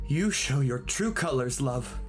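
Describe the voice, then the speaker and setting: A woman's voice: very deep voice, expressive, a little slow, music in the background. A woman playing a bitter, scornful, rebellious emotion in an audio drama, with an imperious theatrical delivery. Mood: defiant. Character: bitter, rebellious personified Selfishness, indignant and scornful.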